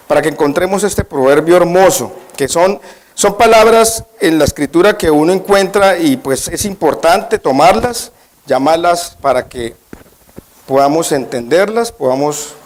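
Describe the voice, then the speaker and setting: A man's voice: fairly high pitch, moderate pace, darkish tone. A man preaching as a guest in a church, at first nervous, then emphatic, often putting questions to the congregation. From Colombia. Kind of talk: church sermon.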